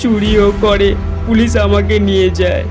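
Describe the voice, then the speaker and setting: A man plays a brash young male thief in a funny cartoon. The voice is high yet monotone, with a sly, slangy delivery. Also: moderate pace, over music, close to an everyday voice. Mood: mock pitiful. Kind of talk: comic cartoon dubbing.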